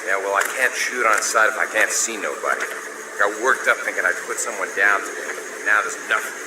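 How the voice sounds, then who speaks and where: A man playing a rough, slangy, trigger-happy mercenary in a video game. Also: fairly low pitch, lively intonation, brisk, close to an everyday voice. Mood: disgruntled. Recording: echoey, loud background noise.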